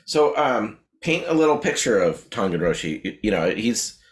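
A man's voice: lowish voice, big pitch swings, moderate pace, echoey room. A middle-aged man hosting a podcast interview over a video call, asking curious, stumbling questions. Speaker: podcast host. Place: small room indoors. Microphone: video call webcam.

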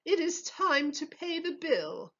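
Woman playing a high, bossy, flustered headwaiter. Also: sing-song, a bit bright, lo-fi sound, exaggerated voice. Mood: fussy, questioning. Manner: fussy, exclaiming, shooing in drawn-out words.

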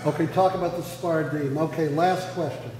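An older man, a lecturer answering audience questions from a synagogue auditorium stage in a brisk, conversational way. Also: fairly high pitch, fairly even intonation, crowd noise. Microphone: podium mic, sometimes walking away. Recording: reverberant hall.

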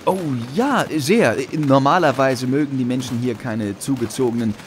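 A man's voice: medium pitch, normal speed, a little hiss or hum, close to an everyday voice. An actor playing an eager, deferential village policeman in a German radio drama, hesitant and polite.

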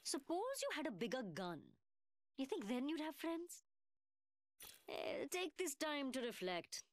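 A woman's voice: high voice, big pitch swings, a little slow. An actress voicing a cocky, mocking young woman gunsmith in a video game, with a British working-class London accent and a cheeky, sarcastic manner. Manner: taunting, slangy, sarcastic. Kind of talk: video game character voice lines.

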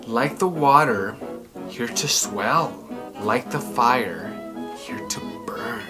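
Male storybook presenter, thirties, expressive and theatrical, lively swings in pitch, faint music behind. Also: takes it slowly. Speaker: children's book reviewer and host. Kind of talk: storybook read-aloud and review to camera. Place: small furnished room at home, indoors.

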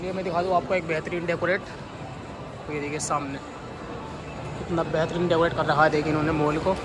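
A man's voice: a little high, little pitch movement, a little fast. A man giving a casual walking tour of a shopping mall, narrating into a phone camera and pointing things out as he walks. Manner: repeats "dekhiye" constantly, pointing things out. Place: outdoor mall plaza, then inside a large shopping mall. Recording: loud music and crowd noise behind.

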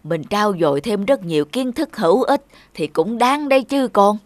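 A woman playing a wise, stern middle-aged father in a cartoon, admonishing in a measured way. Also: medium pitch, lively intonation, no echo, natural voice. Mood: gently admonishing. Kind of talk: cartoon dialogue.

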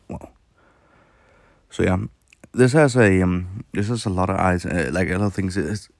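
A man with a sore, hoarse throat, rambling through casual commentary while playing a mobile game, with lots of fillers and restarts. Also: deep voice, darkish tone, no echo, clean studio sound.

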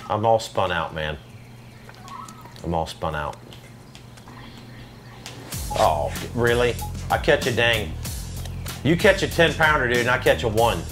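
A man's voice: medium pitch, animated, unhurried, pauses often, fairly bright tone, faint music behind. A man playing a video game and commentating casually, in an exasperated, self-deprecating, slangy way. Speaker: video game player and channel host.

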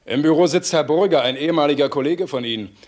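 Man playing a husky-voiced police assistant. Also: a little high, fast talker, a little mellow, natural voice. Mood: businesslike, urgent. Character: male police assistant, businesslike. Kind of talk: German crime radio play acting.

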